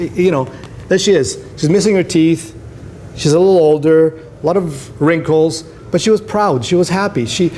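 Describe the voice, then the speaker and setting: A man's voice: fairly high pitch, darkish tone. A middle-aged man from Greece, a native Greek speaker, gives an informal, conversational motivational talk to students as a visiting photographer. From Greece, moved to Canada at twelve. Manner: conversational, anecdotal, addresses listeners with tag questions. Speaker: photographer, career day speaker. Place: school classroom, indoors. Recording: noisy room with background hiss.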